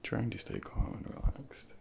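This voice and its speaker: A man softly whispering a medical ASMR role play as a junior doctor, gently reassuring and instructing, close to a binaural microphone. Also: unhurried. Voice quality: breathy whispering, gravelly.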